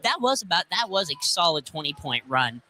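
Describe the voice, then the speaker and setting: A man's voice: on the high side, sing-song, a little fast, crowd noise. A man giving live color commentary on college basketball for radio, emphatic and often repeating his phrases, inside a gym. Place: indoor college field house during a game. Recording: live gym broadcast with background noise.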